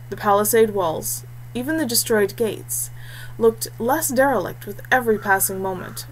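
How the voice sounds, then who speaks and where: A woman's voice: medium pitch, a bit bright. Woman narrating fiction over noisy hum. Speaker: audiobook narrator. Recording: clearly noisy background hum.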